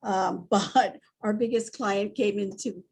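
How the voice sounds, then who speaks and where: A woman in her sixties from Hawaii, speaking as a guest in an animated, candid conversation full of restarts and asides. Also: medium pitch, takes it slowly, creaky, a little hiss or hum. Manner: animated and candid, with frequent restarts and "you know". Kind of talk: conversational storytelling.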